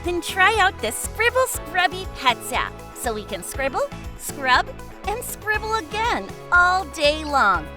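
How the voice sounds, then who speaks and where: Perky high-voiced cartoon girl, ad read. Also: very animated, takes it slowly, exaggerated voice. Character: bubbly cartoon girl hosting an ad. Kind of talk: promotional ad. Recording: music underneath.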